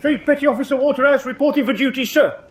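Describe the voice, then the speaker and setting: An actor playing an eager sailor in a radio drama, reporting briskly and respectfully to a superior. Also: male voice, very high voice, background noise. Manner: brisk, deferential military reporting.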